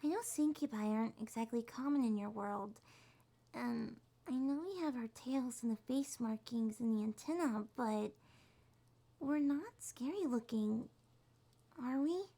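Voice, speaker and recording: A woman voicing a shy, sweet young succubus monster girl in a soft, breathy, hesitant ASMR roleplay. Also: fairly high pitch, unhurried. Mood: nervous, explaining. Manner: hesitant, gentle, slightly stammering.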